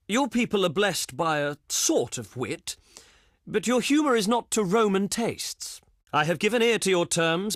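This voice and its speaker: An actor playing a haughty, contemptuous ancient Roman diplomat in a strategy game, pompous and sneering, with formal oratorical delivery. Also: male voice, a little high, fairly bright tone, no room sound, studio quality. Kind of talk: theatrical scripted game voice acting.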